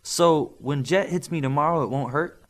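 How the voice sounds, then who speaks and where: A man's voice: fairly high pitch, normal speed, pitched above the performer's own voice, close to an everyday voice. A man reading as a modest, awkward teenage male superhero in an audiobook, plain and guarded. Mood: puzzled.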